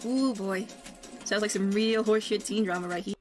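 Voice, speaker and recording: A woman's voice: medium pitch, steady tone, moderate pace. A woman commentator gossiping casually in a sarcastic, snide manner. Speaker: online video commentator. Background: background music.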